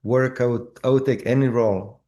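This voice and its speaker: A man with a Croatian accent, an actor telling his story in a casual interview, halting with many fillers and restarts. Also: medium pitch, steady tone, warm tone. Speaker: actor guest.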